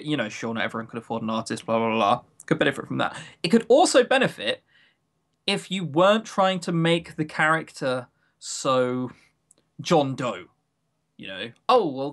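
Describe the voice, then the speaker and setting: A man's voice: on the high side, fairly bright tone. A man chatting casually as a podcast co-host, giving opinions with wry, coarse humour. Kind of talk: casual chat reviewing games.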